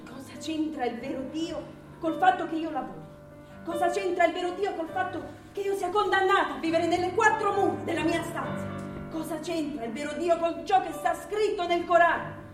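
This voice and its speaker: High-voiced, defiant Afghan mother, echoey stage. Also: normal speed. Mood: indignant. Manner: emphatic, challenging, insistent. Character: defiant Afghan mother challenging a Taliban suitor. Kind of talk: theatrical stage drama acting. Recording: echoey distant stage recording with music.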